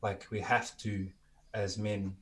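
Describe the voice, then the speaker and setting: A man speaking as a musician guest in a casual, reflective interview, candid and thoughtful, with halting phrasing. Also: on the low side, flat delivery.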